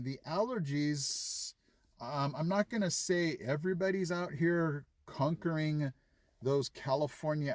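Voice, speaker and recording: A man's voice: a little high, darkish tone, dry recording. A man chatting casually to his viewers, retelling conversations with lots of fillers. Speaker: vlogger. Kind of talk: casual chat to camera.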